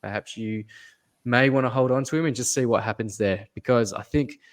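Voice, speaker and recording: A man co-hosting a fantasy basketball podcast, giving measured advice with fillers and repeating his main points. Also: medium pitch. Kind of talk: casual podcast analysis.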